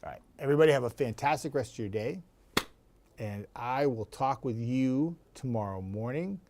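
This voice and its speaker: A man with a raspy voice, a TV meteorologist chatting casually and rambling to viewers. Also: mid-range voice, animated, moderate pace, darkish tone. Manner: chatty, rambling, laughs easily.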